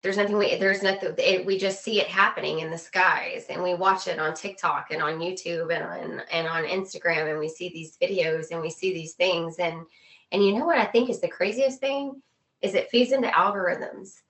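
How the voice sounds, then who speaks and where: A woman with a fairly low voice shares her opinions in casual conversation. She speaks earnestly and repeats phrases to drive her point home. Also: steady tone, normal speed, a bit bright.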